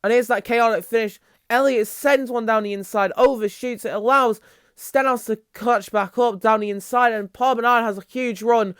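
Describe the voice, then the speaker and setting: High-pitched male race commentator. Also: steady tone, normal speed, fairly bright tone, clean tone, no echo, studio quality. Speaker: race commentator and channel host. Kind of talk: excited motorsport race commentary.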